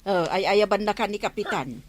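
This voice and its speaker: A woman's voice: medium pitch, fairly quick, lightly acted. A woman playing a gossipy village neighbour in an Ilocano comedy drama. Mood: teasing.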